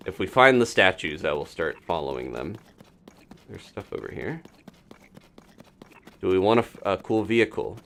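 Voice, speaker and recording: A man with a low, gravelly voice streams a video game and talks through it casually. His pitch moves around a lot as he rambles playfully and mutters to himself while working things out. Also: sing-song, normal speed, long pauses, a bit bright. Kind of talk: casual live gameplay commentary, thinking aloud.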